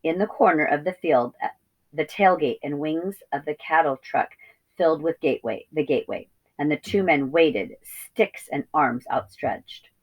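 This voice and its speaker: A woman reading a children's chapter book aloud in an expressive teacher's storytelling style. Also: low-pitched, moderate pace, muffled recording.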